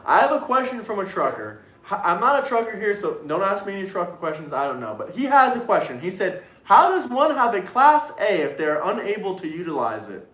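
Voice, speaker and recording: A man hosting a heated casual debate with a high-pitched voice, teasing and reading out viewer messages. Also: sing-song, a little fast, hardly any pauses, a bit bright, reverberant. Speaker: show host.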